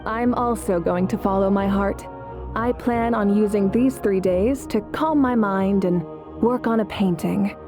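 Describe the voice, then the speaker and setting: A woman's voice: medium pitch, close to an everyday voice. Composed, resolute female war leader, acted by a woman. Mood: relaxed, reflective. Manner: measured, assured. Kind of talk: video game character acting. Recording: game music behind the voice.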